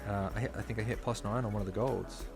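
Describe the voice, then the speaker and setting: A man with a somewhat low, slightly hoarse voice chats to his live viewers as he plays. He rambles casually, leaning on fillers and asides. Music plays clearly behind him. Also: steady tone, fast. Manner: chatty, filler-heavy, talks while playing. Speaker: game streamer. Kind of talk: casual live-stream commentary. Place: home streaming setup. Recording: clear background music under voice.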